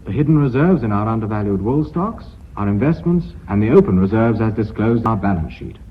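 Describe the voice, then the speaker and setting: An actor playing a cautious, principled company director in a radio drama, formal, clipped and grave. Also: male voice, fairly low pitch, expressive, a little fast, breathy edge. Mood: firm, sober. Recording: dull, muffled radio recording.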